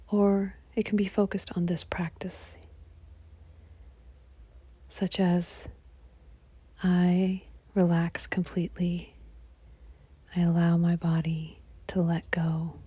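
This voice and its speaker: Female yoga nidra guide: soft half-whisper, mellow tone, close dry sound, calming delivery. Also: on the low side, husky, no echo. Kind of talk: guided relaxation meditation.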